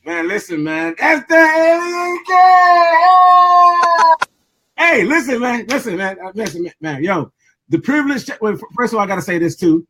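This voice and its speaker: A laid-back male radio host with a high voice for a man chats loosely and casually. He keeps repeating himself as he hands the floor to his guest. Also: big pitch swings, normal speed, pushed and bright. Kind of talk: casual radio interview chat.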